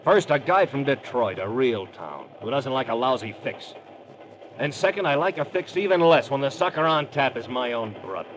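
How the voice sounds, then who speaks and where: A man's voice: lively intonation, normal speed, natural voice. An actor playing a menacing, tough gunman protective of his brother in an old radio crime drama, with hard, slangy, threatening talk. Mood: bitter, menacing. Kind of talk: 1940s-50s radio crime drama acting. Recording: noisy old radio recording, train rumble behind.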